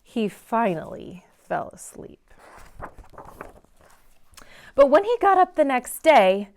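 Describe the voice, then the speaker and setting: A woman's voice: on the high side, sing-song, slow, darkish tone. A woman hosting a story time in a library, reading a picture book aloud to children with dramatic emphasis on key words. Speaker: read-aloud host in a library.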